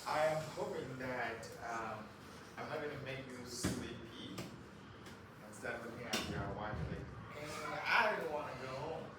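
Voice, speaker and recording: A man's voice: medium pitch, a little slow. A man from Cameroon giving an informal talk to a class of children, chatty and quizzing the audience. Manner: chatty, asks the audience questions. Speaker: guest speaker. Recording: echoey room, mic far off, background noise.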